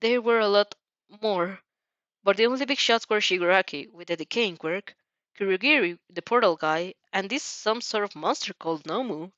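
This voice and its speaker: A woman's voice: mid-range voice, normal speed, fairly bright tone, dry recording, highs cut off, lightly acted. A woman voicing an anxious teenage girl hero student in an anime fan story, hesitant and faltering. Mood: shaken, hesitant.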